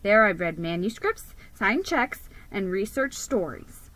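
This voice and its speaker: A woman giving an expressive, deliberate dramatic reading of a children's story, narrating in the first person as a mouse storyteller. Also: mid-range voice.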